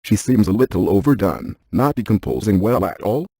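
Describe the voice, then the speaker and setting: A man playing a brainy, stiff ghost-hunting scientist in a video game, speaking in a precise, clinical deadpan. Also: lowish voice, normal speed, slightly rough, dry recording, studio quality. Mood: deadpan, analytical.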